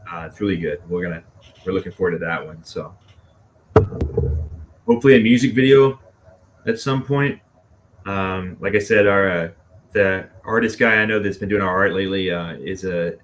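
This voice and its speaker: A man from Dallas chatting casually as a band musician being interviewed, halting and self-effacing. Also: sits low. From Dallas, Texas. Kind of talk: casual chat. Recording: clearly noisy background.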